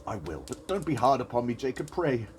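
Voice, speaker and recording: A man playing a miserly old businessman in an audio drama, shaken yet dryly stubborn, halting and querulous. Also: mid-range voice, sing-song, close to an everyday voice. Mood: fearful pleading. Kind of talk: audio drama acting of Victorian literary dialogue. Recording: echoey room with clear background noise.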